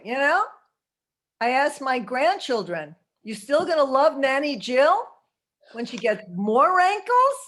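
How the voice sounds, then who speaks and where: A woman's voice: medium pitch, fairly bright tone. A woman in her seventies giving a holistic health talk over a video call, chatty, laughing often and tossing in asides like "okay?". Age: 70s.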